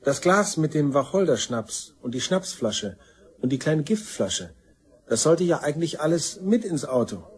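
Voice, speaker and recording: A bitter brother accused of murder in a crime radio drama. His voice sits a little high for a man and is very hoarse-edged, with a sullen, excuse-making, everyday way of talking. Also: sing-song, normal speed, exaggerated voice. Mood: sheepish. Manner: colloquial, defensive, sullen. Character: resentful, self-excusing male murder suspect, bitter brother. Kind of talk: German crime radio play acting.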